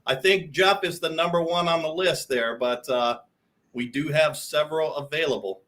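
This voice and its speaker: A man from Hawaii joins a chat show as a guest. His voice is bright and somewhat high, and his manner is upbeat and friendly. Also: normal speed. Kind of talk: casual chat.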